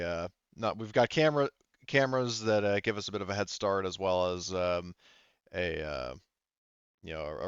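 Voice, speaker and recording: A man playing a practical sheriff in a tabletop game, informal and matter-of-fact, with halting speech. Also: low-pitched, fairly bright tone, clear, resonant tone, no room sound, muffled recording. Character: welcoming, practical male sheriff briefing a new recruit.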